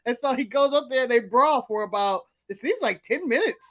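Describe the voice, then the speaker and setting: A man's voice: extremely high pitch, expressive, a little fast, a bit bright. A man from the United States co-hosting a casual chat show, talking eagerly and repeating his points for emphasis. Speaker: podcast co-host.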